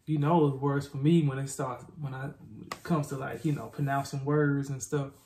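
A man's voice: mid-range voice, steady tone, normal speed, darkish tone, slight background noise. Playful Southern US man in a roomy space. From southern United States. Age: young adult. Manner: slangy, playful, repeats himself. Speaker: co-host of a reaction channel. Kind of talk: casual reaction chat. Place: small indoor room with plain wall.

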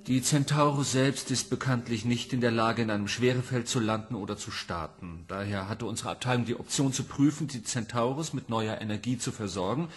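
A man's voice: mid-range voice, fairly quick, few pauses, breathy edge, lightly acted. An actor playing a businesslike male section head reporting to a commission in a radio play. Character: matter-of-fact male section head Dr. Hansen.

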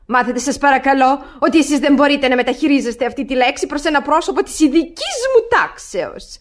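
Shrill-pitched haughty aristocratic matron. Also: female voice, lively intonation. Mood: haughty scolding. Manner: pompous, affected, scolding. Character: haughty older aristocratic mother-in-law, easily scandalised. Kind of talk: radio theatre comedy acting.

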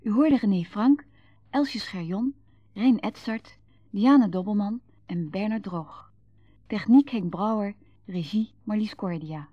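A woman's voice: mid-range voice, unhurried, no room sound. A woman reading scripted credits as a radio drama announcer. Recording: dull recording with music behind.

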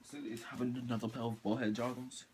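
A man's voice: medium pitch, level tone, noisy background. Rambling teenage guy showing off clothes. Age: teens. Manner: rambling, halting, many fillers and restarts. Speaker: sneaker vlogger. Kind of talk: casual haul video. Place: bedroom, indoors. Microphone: camera or phone mic up close.

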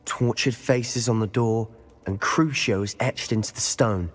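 A man's voice: fairly low pitch, measured pace, lightly acted. A man playing a determined, intense teenage schoolboy wizard in a video game, with an urgent, confident delivery. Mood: grave, resolute. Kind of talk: dramatic video game voice acting. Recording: reverberant stone hall, game music.

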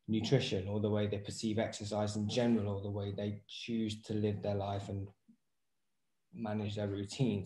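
Male, 30s, interview guest and fitness trainer. Low, mellow voice, reflective explanatory delivery. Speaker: interview guest, personal trainer and movement therapist. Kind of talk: casual interview talk. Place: small indoor room at home, video call. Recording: roomy echo on voice.

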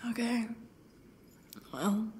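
A woman playing a sarcastic, defiant captive in an ASMR roleplay, swearing and slipping into breathy half-whispers. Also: a little high, animated, drawn-out words, darkish tone, heavily acted. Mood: sardonic, defiant.

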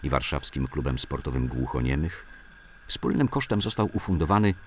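A man's voice: a bass voice, animated, normal speed, a little hiss or hum. A man reads scripted memoirs as a documentary narrator. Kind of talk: scripted documentary narration of sports-club recollections.

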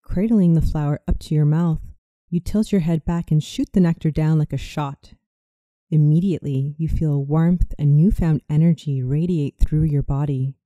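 A narrator guiding a scripted visualization meditation in a soothing, measured way. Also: medium pitch, steady tone, mellow, no echo, highs cut off. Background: music.

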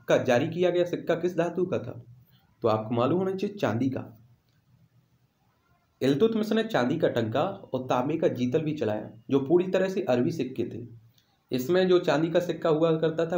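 A man's voice: fairly high pitch, darkish tone. A man teaching exam questions in an instructional way, repeating questions and answers for emphasis, with an echoey room sound. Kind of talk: instructional quiz walkthrough.

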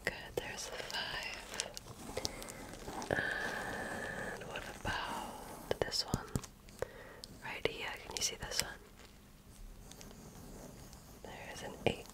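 Slow, whispering woman in ASMR style. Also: poor audio. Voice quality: breathy, unvoiced whisper. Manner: gentle, deliberate, patient, reassuring, precise. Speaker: ASMR performer playing a medical examiner. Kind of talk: ASMR roleplay, soft-spoken personal attention.

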